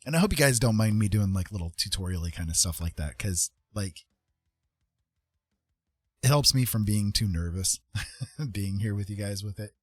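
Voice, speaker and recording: A man giving a casual live-stream painting tutorial in an informal, self-deprecating way, with a husky voice. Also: fairly low pitch, animated, moderate pace, pauses often, no echo. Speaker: art streamer and author. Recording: soft guitar music behind voice. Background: guitar music.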